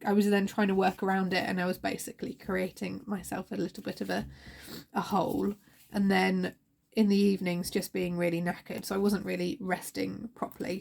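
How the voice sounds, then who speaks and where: Tired woman with a creaky voice. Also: medium pitch. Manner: chatty, self-mocking, talks to her cat. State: fluey and tired. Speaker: teacher and budgeting vlogger. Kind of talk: casual chat to camera. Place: at home, indoors.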